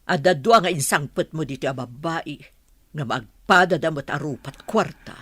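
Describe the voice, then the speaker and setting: A woman's voice: low voice, normal speed, fairly bright tone, husky, no room sound. A woman playing a proud, wealthy mother of a grown son in a radio drama, haughty and prying in melodramatic style. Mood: haughty, questioning.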